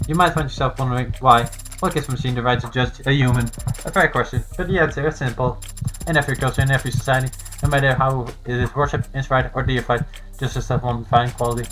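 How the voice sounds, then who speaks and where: A flamboyant machine judge from a courtroom video game , performed by a man. The delivery is grandiose and flippant, vain with a sulky edge, at a middle pitch over music. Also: fairly even intonation, fast talker, natural voice, rough recording.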